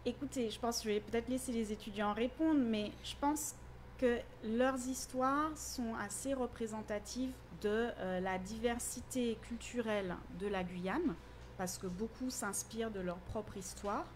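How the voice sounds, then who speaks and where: A woman's voice: a little high, a little slow. Woman explaining, with background noise. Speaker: university teacher. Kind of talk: explanatory radio interview.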